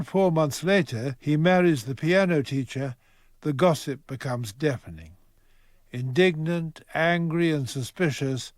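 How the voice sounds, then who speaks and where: A man narrates a period true-crime radio drama. His voice is on the higher side and recorded dry, with scripted, dramatic phrasing. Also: darkish tone, dry recording.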